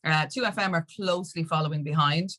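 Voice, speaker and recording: Chatty Irish woman, slightly low voice. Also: fast, bright, forceful tone. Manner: chatty, emphatic, peppered with "like" and "you know". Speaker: interview guest, music industry activist. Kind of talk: casual conversational interview.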